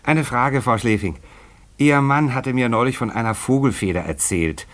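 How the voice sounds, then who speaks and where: A man's voice: mid-range voice, moderate pace, natural voice. Casting: male actor for businesslike, inquisitive editor in radio drama, middle register, fussily thinks aloud. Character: fussy, inquisitive magazine editor dictating and telephoning. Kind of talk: German radio play acting.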